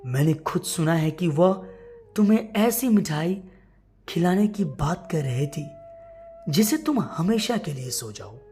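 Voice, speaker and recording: A woman's voice: on the low side, animated, measured pace, mellow, faint music behind. A woman storyteller voicing a worried, suspicious elderly mother-in-law in a grave, earnest, admonishing manner. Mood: alarmed warning.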